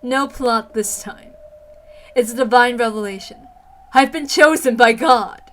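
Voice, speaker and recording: A woman voicing a delirious, self-deluded villainess in a visual novel, coy, exalted and deliberate. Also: fairly high pitch, fairly bright tone, slight background noise.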